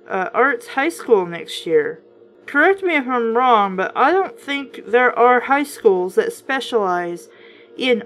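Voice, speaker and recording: A woman with a fairly high voice reads a children's book aloud with some music behind her. She is informal and chatty, dropping in wry, skeptical asides as host and reviewer. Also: low-quality recording. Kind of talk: casual read-aloud with offhand commentary.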